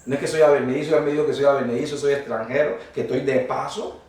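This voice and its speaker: Fast-preaching man in an echoing hall. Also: medium pitch, fairly even intonation, darkish tone, distant mic. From Colombia. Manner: fervent, emphatic, quick, with a congregation answering. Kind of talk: sermon. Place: church hall. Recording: very echoey hall, voice from afar.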